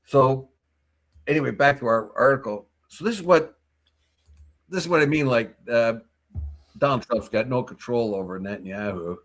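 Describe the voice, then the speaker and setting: A man co-hosting a morning news talk show, with a voice that sits on the low side. He talks through the news in a loose, opinionated ramble, often restarting his sentences. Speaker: co-host.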